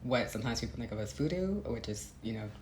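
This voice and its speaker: A woman's voice: extremely low pitch, lively intonation, fast talker, darkish tone, a little hiss or hum. A woman giving a reflective, conversational interview as a novelist, thoughtful and hesitant, circling back as she builds her ideas. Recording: roomy, echoey sound.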